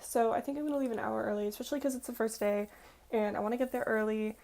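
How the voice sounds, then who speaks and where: A young woman in her early twenties talks casually to her vlog audience. Her voice sits fairly high and has a strong creak to it. She rambles informally, dropping in little side notes as she goes. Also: quick pace, darkish tone. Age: early 20s. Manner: informal, rambling, conversational asides. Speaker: vlogger.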